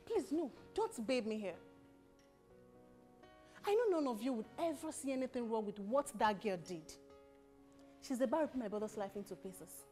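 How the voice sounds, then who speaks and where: A woman's voice: a little high, expressive, moderate pace, pauses often, warm tone, soft background music, natural voice. A woman playing an indignant, reproachful sister in an emotional screen drama. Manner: emphatic, reproachful. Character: scolding, indignant sister who disapproves of her brother's girlfriend.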